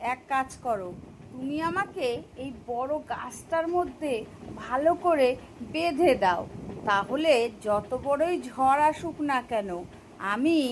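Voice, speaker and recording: A woman voicing a hungry, gullible tiger in an animated children's story, bossy but easily scared, with drawn-out delivery. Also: sits high, slight background noise, poor audio. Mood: frightened, pleading.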